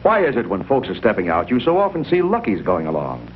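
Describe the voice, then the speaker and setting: American man, polished vintage ad pitch, animated, dull old TV sound. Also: fast talker. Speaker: commercial pitchman. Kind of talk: 1950s cigarette advertisement. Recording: dull 1950s TV audio, faint hum.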